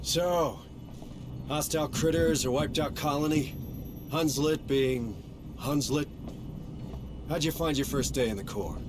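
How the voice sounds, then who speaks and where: An actor playing a blunt, pragmatic American Marine sergeant with a folksy, plain-spoken way in video game dialogue. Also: male voice, a little high, unhurried, pauses often, background noise, lightly acted. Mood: wry, steady. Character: gruff, pragmatic male Marine sergeant in command.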